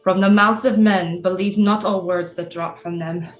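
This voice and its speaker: A woman's voice: medium pitch, fairly even intonation, faint music behind, lightly acted. Woman playing an imperious, scheming queen mother. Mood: solemn. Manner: imperious, formal, deliberate diction. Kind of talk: theatrical audio drama acting.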